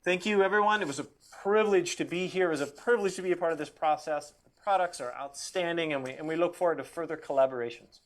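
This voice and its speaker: A man with a fairly high voice delivers a formal, reflective address. He sounds earnest and measured, placing clear emphasis on key phrases as a guest speaker would. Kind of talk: formal closing speech, quoting and reflecting.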